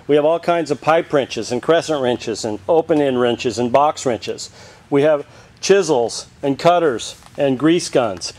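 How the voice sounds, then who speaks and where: A man's voice: on the high side, a little mellow. A man walking through an auction warehouse, promoting the sale by rattling off long lists of tools and antiques. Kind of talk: walk-through sales promo.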